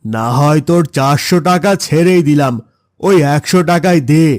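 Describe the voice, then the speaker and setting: A man's voice: a little high, moderate pace, darkish tone, no room sound, studio quality, close to an everyday voice. A man playing a stern, indignant authority figure in a cartoon folk tale. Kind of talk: cartoon moral-story dialogue.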